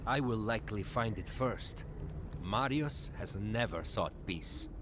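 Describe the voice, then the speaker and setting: A solemn, gentle man of cryptic words with a lowish voice. He talks very slowly and haltingly, his pitch rising and falling widely. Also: animated, noisy background. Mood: gentle, resigned. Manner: measured, halting. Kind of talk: video game cutscene acting.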